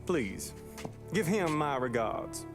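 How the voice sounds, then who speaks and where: A folksy Southern bootlegger from a crime game, eager to please. His voice is high and swings widely, drawn out in a polite country way. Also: big pitch swings, slow pace. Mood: friendly, courteous. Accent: American South. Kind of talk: video game cutscene acting. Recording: music behind the voice.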